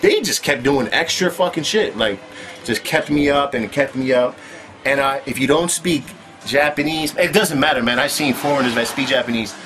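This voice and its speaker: A man's voice: mid-range voice, moderate pace, fairly bright tone. A man telling his own story in casual, profane street slang, with "man" tossed in constantly. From United States.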